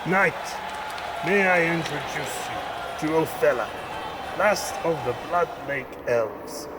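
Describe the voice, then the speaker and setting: A man playing a lordly, cruelly amused king in an audio drama, speaking in a pompous, measured way. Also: on the high side, lively intonation, a loud crowd, very noisy. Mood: pleased, grand.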